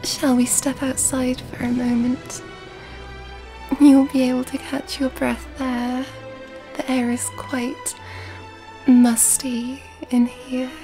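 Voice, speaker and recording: A woman playing an elegant, seductive female vampire in an ASMR roleplay, soft-spoken and breathy, often whispering in a genteel, flirtatious way. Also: sits high, level tone, slow, long pauses. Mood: solicitous, coaxing. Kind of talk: ASMR roleplay, intimate scripted monologue. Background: background music.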